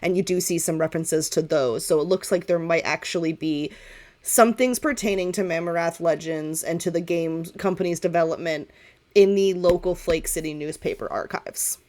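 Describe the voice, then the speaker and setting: Woman game master with a lowish voice. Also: normal speed, darkish tone. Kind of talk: improvised tabletop game narration.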